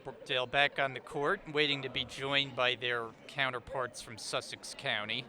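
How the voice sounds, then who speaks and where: A man's voice: mid-range voice, level tone, moderate pace, bright, forceful tone. A man calling a college basketball game live as the play-by-play commentator. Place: indoor basketball gym during game. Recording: crowd noise in gymnasium.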